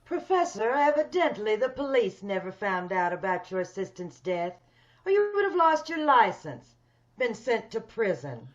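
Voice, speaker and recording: A woman's voice: mid-range voice, very animated, normal speed, close to an everyday voice. A woman playing a bitter, wealthy, commanding woman in an old-time radio drama. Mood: coldly insistent. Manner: cold, insistent, commanding. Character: wealthy, determined, bitter ugly woman scheming for a new body. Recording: dull video-call audio.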